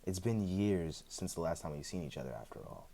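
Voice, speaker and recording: A man's voice: deep voice, animated, a little fast, gravelly, warm tone, slight background noise. A man playing a chatty, sheepish immortal in a roleplay audio drama, talking in a hesitant, conversational way with dry humor. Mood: wistful, teasing. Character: chatty, sheepish, evasive immortal man, freshly back from death.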